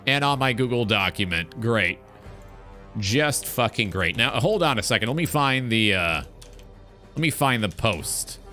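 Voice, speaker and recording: A man's voice: medium pitch, lively intonation, unhurried, pushed and bright, faint music behind. A man hosting a live stream in a casual, informal talk show style, swearing freely.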